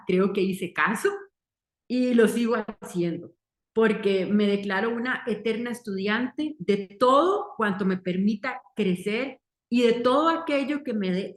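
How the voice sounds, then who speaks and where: A Costa Rican woman in her forties with a Costa Rican accent, giving an earnest success-story testimonial in short, deliberate, emphatic phrases. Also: mid-range voice. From Costa Rica. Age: 40s. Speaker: marketing entrepreneur giving a testimonial. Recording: roomy echo.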